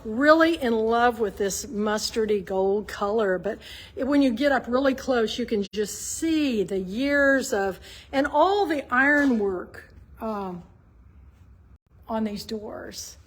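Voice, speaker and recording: A woman's voice: on the high side, expressive, slow pace. A woman chatting casually and enthusiastically as she hosts a video tour of an art gallery. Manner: gushing, enthusiastic. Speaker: artist hosting a gallery tour. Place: inside a shop gallery.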